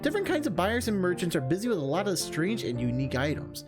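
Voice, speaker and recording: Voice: medium pitch, sing-song, fast. A streamer reading a visual novel aloud and adding informal, self-deprecating asides. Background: music.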